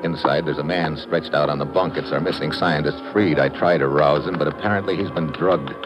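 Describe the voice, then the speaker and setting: An actor with an American accent playing a tough, wry secret agent, terse and hard-boiled, in a 1950s radio adventure. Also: male voice, rumbling low, steady tone, fairly quick, over music. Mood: tense, terse. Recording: muffled, noisy vintage radio recording.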